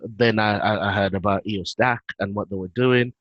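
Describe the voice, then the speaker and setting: A British man on a video call, explaining his work in a relaxed way with frequent fillers. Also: fairly low pitch, normal speed, dry recording, lo-fi sound. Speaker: guest, head of operations.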